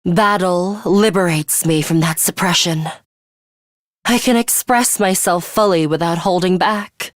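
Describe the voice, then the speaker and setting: A woman plays a composed schoolgirl fighter from a video game, arrogant and quietly bloodthirsty. Her voice is slightly low and very unhurried, with formal, exact phrasing and a faintly sinister edge. The sound is close and dry. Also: no echo, natural voice. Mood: composed, aloof. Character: serious, coolly arrogant young schoolgirl fighter with a bloodthirsty streak. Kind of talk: English video game voice acting, measured monologue.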